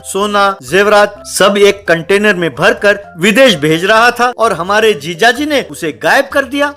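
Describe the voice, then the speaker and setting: A man's voice: sits high, a bit bright. A man voicing an aggrieved, boastful brother-in-law of a thief in a comedy cartoon. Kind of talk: Hindi comedy cartoon dialogue.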